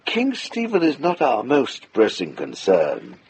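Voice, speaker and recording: An actor playing a solemn, authoritative abbot in a radio drama, slow, deliberate and weighty in speech. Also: male voice, medium pitch, wildly swooping pitch, darkish tone, a little hiss or hum. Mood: solemn, grave. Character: solemn, authoritative medieval abbot, stately and pious. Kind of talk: British radio drama acting.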